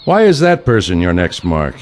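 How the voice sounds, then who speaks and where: A man as a deadpan veteran assassin, lively intonation. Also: low voice, moderate pace. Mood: reluctant, unimpressed. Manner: dry, deadpan, terse. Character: principled, weary older male veteran assassin. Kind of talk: audio play dialogue.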